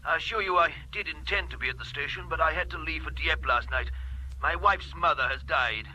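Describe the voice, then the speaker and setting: A man's voice: fairly high pitch, a little fast, bright, forceful tone, a little hiss or hum, poor audio. An actor playing an obliging, fussily polite man on the telephone in an audio drama, apologising and over-explaining. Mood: apologetic. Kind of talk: radio drama telephone dialogue.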